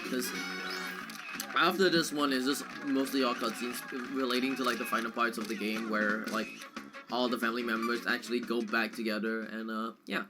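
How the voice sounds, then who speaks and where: A man giving casual, excitable live commentary on a game he is speedrunning, prone to exclamations. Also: mid-range voice, moderate pace, music in the background.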